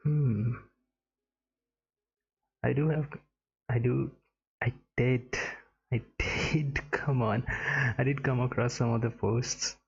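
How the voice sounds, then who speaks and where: A person, a man, giving casual, halting, muttered reaction commentary while playing a game. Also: mid-range voice, fairly even intonation, vocal fry. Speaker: gamer reacting to a story quest. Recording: dull lo-fi mic, game music behind.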